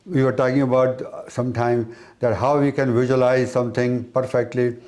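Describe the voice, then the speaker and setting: A man giving an explanatory spiritual talk as an interviewed teacher, with halting, repetitive phrasing. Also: medium pitch, little pitch movement, darkish tone.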